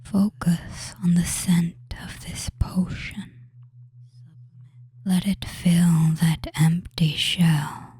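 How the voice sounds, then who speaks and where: A man voicing a tender, teasing, commanding femboy witch in a breathy whisper, slowly giving soothing hypnotic commands in an audio roleplay. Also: sits high, flat delivery, a little mellow, exaggerated voice. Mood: soothing, coaxing. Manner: slow, soothing, drawn-out phrases with commands.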